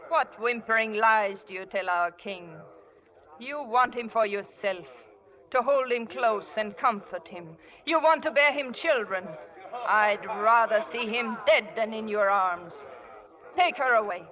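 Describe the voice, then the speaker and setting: Passionate, forceful temptress in radio drama. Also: female voice, fairly high pitch, measured pace, dull audio. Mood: scornful. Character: passionate seductress, pleading lover, imperious and jealous. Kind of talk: 1950s radio drama acting.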